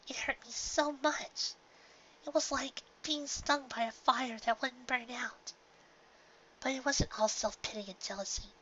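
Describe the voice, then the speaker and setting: A woman voicing a gentle, grieving young pony mare in a fan audio drama, with a soft, earnest, emotional delivery. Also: fairly high pitch. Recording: dull lo-fi home recording, clear background noise.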